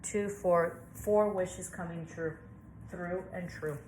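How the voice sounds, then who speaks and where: A woman's voice: fairly low pitch, steady tone, takes it slowly, background noise. Chatty, reassuring woman reading tarot. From Southwestern United States. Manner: chatty, reassuring, thinks aloud with many fillers. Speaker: tarot reader. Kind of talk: casual tarot reading to camera.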